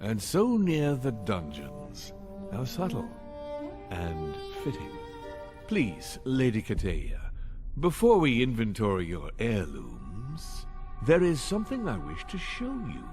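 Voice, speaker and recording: A haughty dwarf lord, swinging intonation. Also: male voice, fairly low pitch, wildly swooping pitch, heavily acted. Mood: sly, menacing. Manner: imperious, formal, deliberate. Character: haughty dwarf lord, shrewd castle baron. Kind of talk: video game cutscene acting. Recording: game music behind the voice. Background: game music.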